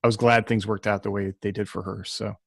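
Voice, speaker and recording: A man speaking as an author on a casual discussion panel, reflective and halting as he thinks aloud. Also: lowish voice, level tone, gravelly, no room sound, clean studio sound.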